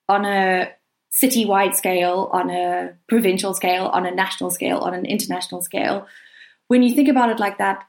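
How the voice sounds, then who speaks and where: A woman with a South African accent talking earnestly and emphatically in a conversational interview, often padding her points with hedging phrases. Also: medium pitch. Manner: earnest, emphatic, hedging with frequent "you know" and "kind of". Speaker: interview guest, writer. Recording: roomy, echoey sound.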